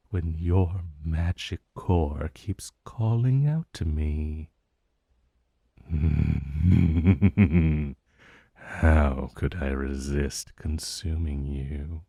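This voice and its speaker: A man playing an ancient dragon in a romantic fantasy ASMR roleplay, possessive and menacing yet tender, with breathy, drawn-out, condescending endearments. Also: rumbling low, mellow, no room sound, studio quality, heavily acted.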